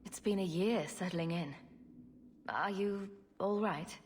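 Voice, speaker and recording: A woman's voice: medium pitch, takes it slowly, big-room sound, slight background noise, lightly acted. An actress voicing a wry, self-assured young woman adventurer in a video game, with a teasing manner. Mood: frank, friendly. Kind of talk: video game character dialogue.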